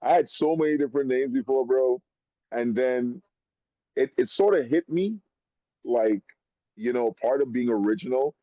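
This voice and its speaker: A man from Trinidad, a rapper on a podcast, talking animatedly and full of slang. His voice sits at an everyday middle pitch. The recording is dull and very dry, like a lo-fi mic in a dead room. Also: moderate pace, long pauses, dry recording. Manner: animated, slang-heavy, calls host bro. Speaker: rapper guest. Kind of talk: casual podcast chat.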